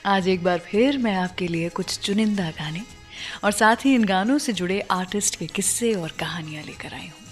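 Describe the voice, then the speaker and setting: A woman's voice: mid-range voice, moderate pace. Woman radio host over background music. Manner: conversational storytelling, mixing in English phrases. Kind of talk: scripted radio music show presentation. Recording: music under the voice.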